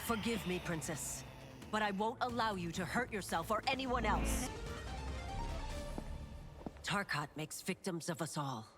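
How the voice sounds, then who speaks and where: An actress voicing a loyal former warrior priestess in a video game cutscene, speaking in an earnest, formal, measured way. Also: female voice, medium pitch, lively intonation, natural voice. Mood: firm. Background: background music.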